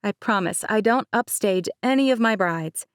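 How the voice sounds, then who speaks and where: A woman's voice: mid-range voice, lively intonation, normal speed, no room sound, clean studio sound, voice pushed up, lightly acted. A woman voicing a direct, earnest young wedding planner who was once an agent.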